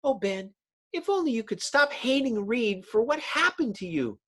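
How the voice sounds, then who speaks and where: A woman's voice: a little high, very animated, moderate pace, a bit bright. A woman playing an anxious, caring young heroine in an earnest, melodramatic comic-book reading. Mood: pleading.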